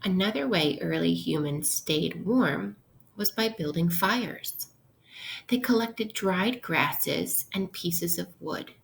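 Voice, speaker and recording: Female primary teacher, read-aloud lesson style, slightly low pitch, unhurried instructional delivery, slight background noise. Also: vocal fry. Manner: instructional, posing questions to listeners. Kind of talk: interactive read-aloud lesson for young children.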